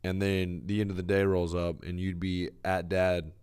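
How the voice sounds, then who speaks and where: A man's voice: low voice, level tone, normal speed, darkish tone, clear, resonant tone. A man co-hosting a podcast, chatting casually with teasing, dry interjections. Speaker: podcast co-host. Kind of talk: casual banter.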